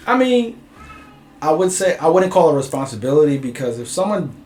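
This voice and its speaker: A man's voice: a little high, very animated, brisk, darkish tone. A man from Bridgeport, Connecticut, talking as a music producer guest in a laid-back, rambling interview, full of filler phrases. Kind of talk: casual interview chat. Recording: roomy echo, faint ambient noise.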